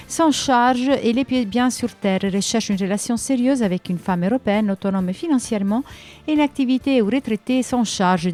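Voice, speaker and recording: A woman's voice: mid-range voice, darkish tone. A woman hosting a radio segment, reading listener personal ads and short news in a friendly, conversational way with frequent hesitations. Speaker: radio show host.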